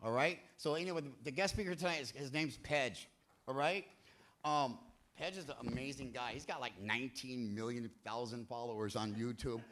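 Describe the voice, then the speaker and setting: A man's voice: mid-range voice, moderate pace, fairly bright tone, slight background noise. A man hosting a church recovery meeting from a stage. He talks in a loose, rambling, jokey way with sudden bursts of emphasis. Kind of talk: energetic sermon-like talk to a crowd. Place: church hall, speaking from a stage.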